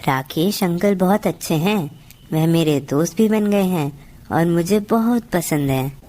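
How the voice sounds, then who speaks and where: A woman's voice: on the low side, measured pace, mellow, lightly acted. A woman voicing a sad, polite, earnest young boy in a Hindi cartoon story. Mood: pleased.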